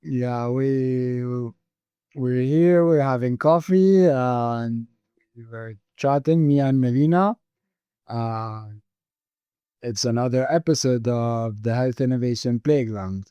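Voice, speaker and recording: A man hosting a relaxed interview, talking haltingly and restarting his sentences often. His voice is mellow and smooth, with an even, middle pitch. The recording is close and dry. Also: no room sound, highs cut off. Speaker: podcast host. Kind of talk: casual interview chat.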